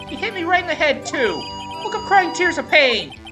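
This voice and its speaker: Jittery, obedient male rookie cop for a visual novel, played by a woman; high-pitched, yelping, very animated. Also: moderate pace, music in the background. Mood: indignant, loud. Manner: yelps, ends phrases with a dutiful "sir". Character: jittery, overeager, obedient young male police officer. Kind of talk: exaggerated visual novel character voice.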